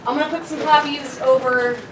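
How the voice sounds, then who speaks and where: High-voiced woman hosting in a noisy hall. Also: fairly even intonation, normal speed. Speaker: panel host. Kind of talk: casual crowd organizing at a live panel. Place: convention panel room. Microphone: distant room camera microphone. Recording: echoey hall, distant mic, loud crowd. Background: loud crowd chatter.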